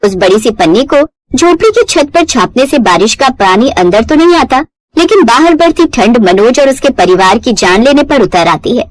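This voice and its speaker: A woman's voice: a little high, animated, normal speed, no echo, poor audio. A woman narrating a scripted moral story in a dramatic storytelling style.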